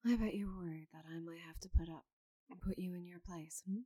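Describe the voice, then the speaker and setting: Woman acting as giant orc lady knight, mellow low voice, unhurried, confident, teasing, intimate ASMR roleplay. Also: fairly even intonation, no echo, clean studio sound, natural voice.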